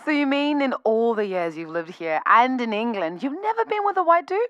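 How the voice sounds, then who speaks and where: Audio drama lead: young Zimbabwean woman, anxious, conversational, expressive, very dry studio sound. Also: on the high side, brisk, no echo, muffled recording. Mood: coy, amused. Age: young adult. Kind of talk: naturalistic podcast audio drama.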